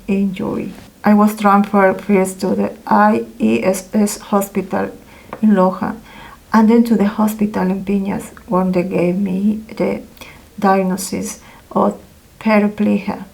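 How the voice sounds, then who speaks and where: A woman reading a translated first-person testimony aloud as a voice-over, in a careful, halting way with pauses. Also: mid-range voice, gravelly, darkish tone, rough recording.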